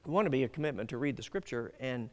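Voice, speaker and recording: A man's voice: mid-range voice, animated, fast. A man preaching a sermon as pastor, earnest and emphatic, often restarting his phrases. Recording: long hall reverb.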